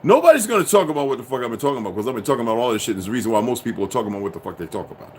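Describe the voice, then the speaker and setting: A man rants straight to his viewers in a profane, preachy and insistent way. His pitch swings widely as he pushes each point, and a faint hiss sits under his voice. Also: big pitch swings, racing, darkish tone. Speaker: commentator. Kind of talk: ranting monologue to viewers.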